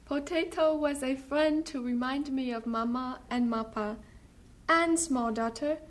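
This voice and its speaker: A young, devoted village helper in a vintage radio play. Her voice is very high and her delivery slow and halting, full of simple affection. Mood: joyful, affectionate. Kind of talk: old radio drama acting.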